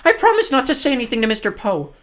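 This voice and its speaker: A man's voice: extremely high pitch, big pitch swings, quick pace, a bit bright, pitched above the performer's own voice, full character voice. A man voicing a frightened, fussy elderly aunt who pleads timidly in a storybook reading. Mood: desperate pleading. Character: terrified frail old aunt, pleading, fussy about grammar.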